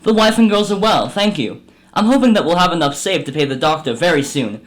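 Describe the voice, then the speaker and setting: Man as meek clerk, lightly high-pitched. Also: animated, brisk, lightly acted. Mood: polite, hopeful. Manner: deferential, apologetic. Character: meek, polite, hardworking male clerk, humble family man. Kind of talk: radio drama acting.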